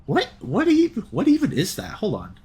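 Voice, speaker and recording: Young guy doing a visual novel let's play, theatrical reading, really lively pitch swings. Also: normal speed. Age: young adult.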